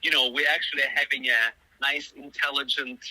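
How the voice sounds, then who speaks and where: A man's voice: mid-range voice, steady tone, moderate pace, sharp tone, no room sound, low-quality recording. A middle-aged man whose first language is Russian, giving long, thoughtful interview answers full of fillers and restarts. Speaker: musician guest.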